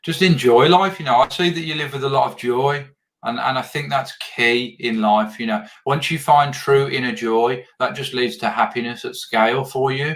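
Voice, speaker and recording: Male podcast host on a video call, mid-pitched, earnest, stumbles and repeats as he thinks. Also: steady tone, normal speed, fairly bright tone. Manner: earnest, repeats words when searching for phrasing. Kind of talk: casual video interview.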